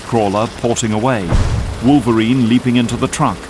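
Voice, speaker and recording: A man's voice: mid-range voice, fairly even intonation, normal speed, over music. A man delivering scripted narration for an audio drama, as its narrator.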